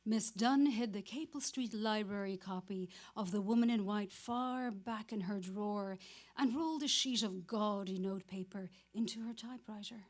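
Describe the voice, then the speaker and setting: A woman's voice: mid-range voice, moderate pace, a little mellow. A woman narrator giving a scripted dramatic reading of literary prose.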